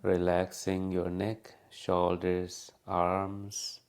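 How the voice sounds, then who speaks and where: A man leading a guided meditation as a teacher, speaking calmly and unhurriedly with long pauses. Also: low voice, clean tone.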